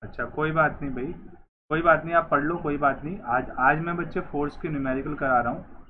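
Man, sore throat, quiet gentle teaching voice, live online class, tinny phone-like sound, faint hiss. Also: on the high side, fairly even intonation, brisk. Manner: softly spoken, addresses students as bhai and kids. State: sore throat, speaking softly. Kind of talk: live online physics lesson. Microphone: clip-on mic, webcam framing.

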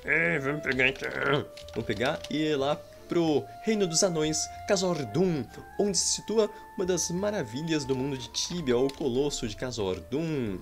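Guy chatting over his game, lively up and down voice, goes pá pá in fights, faint game music behind. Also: on the high side, moderate pace. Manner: playful, makes "pá pá" combat sound effects. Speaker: gaming YouTuber. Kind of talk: casual game commentary with roleplay. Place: small room at home.

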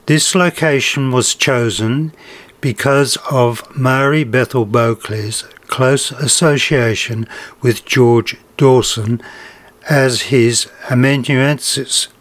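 A man reading a scripted narration in a measured, formal manner. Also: mid-range voice, no room sound.

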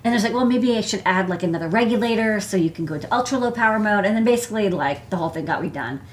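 A woman's voice: mid-range voice, expressive, racing. An American woman, thirties or forties, hosting a casual live hardware show-and-tell in a rambling, chatty way full of "like" and "you know". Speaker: host, electronics engineer. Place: indoor desk workspace.

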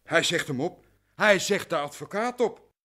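A man's voice: sits high, expressive, unhurried, pushed and bright, full character voice. A man playing an anxious, deferential client in a radio drama, recounting things at length and meticulously. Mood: agitated. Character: long-suffering male client, anxious, deferential, talkative. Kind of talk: radio drama acting in Dutch.

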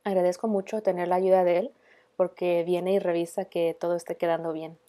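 A woman's voice: lowish voice, level tone, fairly quick, dark tone. A woman in her thirties casually narrating a home DIY vlog, dropping in the odd English word. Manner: casual, mixes in English words.

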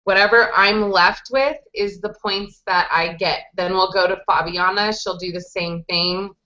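A woman's voice: mid-range voice, steady tone, a little slow, sharp tone. A woman in her thirties leading a casual online English lesson, patiently explaining game rules step by step over a webcam video call. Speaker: English conversation teacher. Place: small indoor room with whiteboard. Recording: dull lo-fi video call audio.

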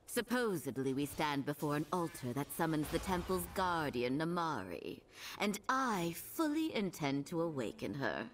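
An eager young wizard scholar in a fantasy game. Her notably low voice is lively and assured, set a little far off amid faint ambience. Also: normal speed, faint music behind. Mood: confident. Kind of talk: video game voice acting. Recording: slightly distant, with game ambience.

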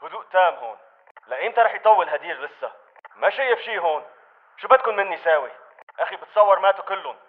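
A man's voice: high-pitched, animated, fast talker, phone-quality sound. A man voicing an uneasy militant reporting to his comrades in a video game, terse, with a Levantine Arabic accent. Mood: matter-of-fact. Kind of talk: video game battle chatter.

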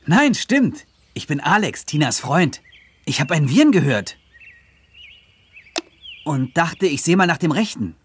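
A man voicing a polite, reassuring teenage boy in a children's game, helpful and expressive. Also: a little high, unhurried, pauses often, slight background noise. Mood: friendly, reassuring. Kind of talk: scripted children's game dialogue.

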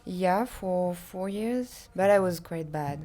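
A woman's voice: on the low side, slow pace, warm tone. A woman who is a native German speaker, giving casual answers as an interview guest. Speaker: interview guest, language learner. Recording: clear background noise.

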